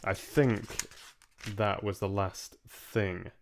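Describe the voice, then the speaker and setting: Male livestream host, twenties, low register, very unhurried, casual chat. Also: steady tone, creaky, slight background noise. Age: 20s. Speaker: live-stream book reader and host. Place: small home room, indoors.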